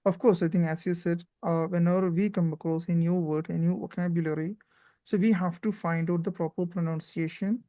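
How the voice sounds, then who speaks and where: Indian man hosting, dark dry voice. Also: on the high side, steady tone, fairly quick, dry recording. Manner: frequent fillers and tag questions, encouraging. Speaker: host. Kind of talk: casual interview chat.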